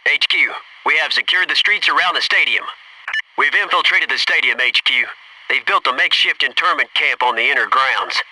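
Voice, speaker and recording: An actor playing a gung-ho, wisecracking Navy SEAL soldier in a military video game, giving clipped tactical callouts. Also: male voice, fairly high pitch, normal speed, sharp tone, no room sound. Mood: brisk, businesslike. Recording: noisy radio-style game audio, slightly distant.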